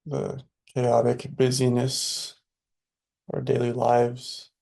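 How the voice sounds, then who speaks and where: A man leading a guided meditation in a soothing, unhurried way, with spare phrases and long pauses between them. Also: mid-range voice, completely flat delivery, creaky, dark tone.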